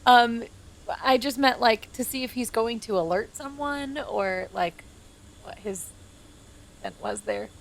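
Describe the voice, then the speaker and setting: A woman's voice: fairly high pitch, lively intonation, a little slow. A woman playing a tabletop game, chatting casually in a playful, teasing way. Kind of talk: casual, joking chat.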